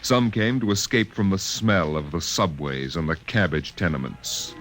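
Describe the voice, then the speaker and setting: A man narrating a scripted story dramatically, in a measured literary storytelling manner. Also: low-pitched, a bit bright, faint music behind.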